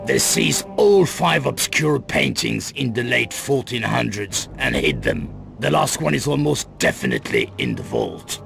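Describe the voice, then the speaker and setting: An actor playing a grim, guarded middle-aged crime boss in a video game cut-scene, with a gravelly voice and grave, deliberate delivery. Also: male voice, mid-range voice, very animated, full character voice. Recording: loud music under the voice.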